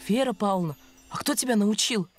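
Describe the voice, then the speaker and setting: A woman voicing a polite, earnest young boy in a cartoon, calm and friendly. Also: mid-range voice, brisk, over music, close to an everyday voice. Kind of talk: animated cartoon voice acting.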